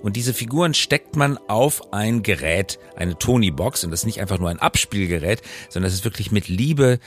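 A man's voice: on the low side, expressive, moderate pace, soft background music. A middle-aged man chatting casually as the co-host of a podcast. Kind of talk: casual conversational podcast talk.

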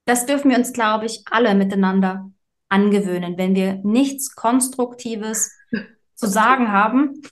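A woman's voice: mid-range voice, unhurried. A woman co-hosting a podcast, talking casually in an encouraging, colloquial and blunt way. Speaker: podcast co-host. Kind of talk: casual conversational podcast chat.

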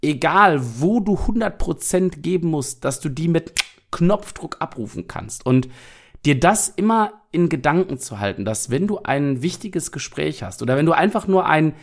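A young man hosting a solo podcast, talking straight to the listener in an energetic, motivational way while still recovering from an illness. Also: a little high, moderate pace. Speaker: podcast host.

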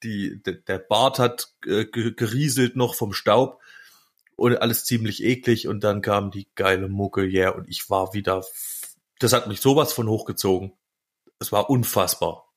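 A man with a fairly low voice speaks as a podcast co-host. He tells personal stories in a loose, slangy, colloquial way, like casual chat among mates. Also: unhurried.

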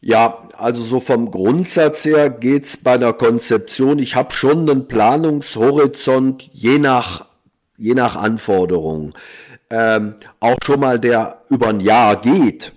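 Slow, deliberate German-speaking man. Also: mid-range voice, a little mellow. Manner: slow, deliberate explanations with fillers. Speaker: guest, online marketing consultant. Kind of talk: explaining in a podcast interview.

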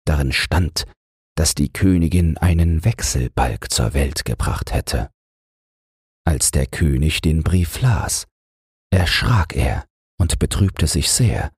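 A man narrating a fairy tale as a bedtime audiobook, calm and soothing. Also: a bass voice, takes it slowly, husky, dry recording, studio quality. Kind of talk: scripted fairy-tale narration for falling asleep.

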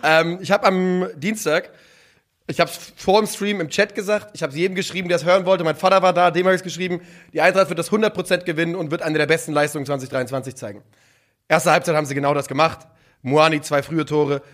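A man co-hosting a casual football podcast, chatty and quick with asides. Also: a little high, fairly even intonation, fairly bright tone. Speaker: podcast co-host.

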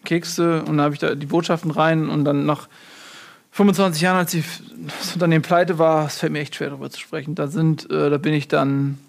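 A man playing a muddled, rambling fortune cookie writer in a deadpan comedy interview. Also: on the high side, fairly quick, vocal fry. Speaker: mock interview guest. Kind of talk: improvised comedy sketch.

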